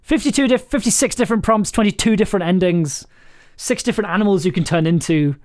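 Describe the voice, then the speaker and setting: A stream host chatting casually, with a halting, rambling delivery that often repeats words. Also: mid-range voice, darkish tone. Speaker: stream host and audio drama writer. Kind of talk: casual live stream chat.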